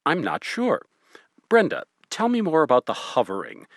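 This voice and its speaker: A man's voice: on the high side, huge pitch swings, normal speed, creaky, a bit bright, no echo, clean studio sound, heavily acted. A man playing a tentative, rational professor in an audio drama, hesitant and musing.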